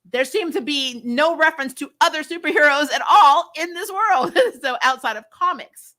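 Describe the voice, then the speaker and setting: A woman host giving a casual, chatty recap and review, retelling things in an animated way with frequent asides. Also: a little high. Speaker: YouTube host reviewing TV episodes.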